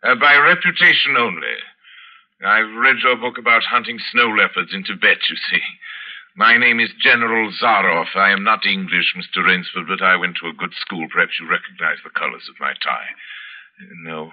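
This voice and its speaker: An actor playing a suave, boastful foreign general and big-game hunter with quiet menace, in courtly, theatrical golden-age radio drama style. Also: male voice, sits low. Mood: courtly, urbane. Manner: courtly, theatrical, drawn-out emphatic phrasing. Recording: muffled vintage radio recording.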